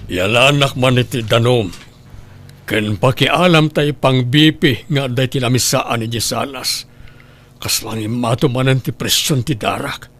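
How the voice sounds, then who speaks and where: A male actor as a demanding boss in an Ilocano radio drama, with a mid-pitched voice and an Ilocano accent. He comes across as stressed and hard to please. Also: unhurried, fairly bright tone, natural voice. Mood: irritated.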